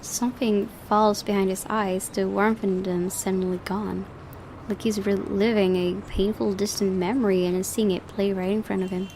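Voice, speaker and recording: Creaky-voiced woman reading a visual novel. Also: medium pitch, level tone, normal speed, warm tone, a little hiss or hum. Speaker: gaming video host. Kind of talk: visual novel narration with casual commentary.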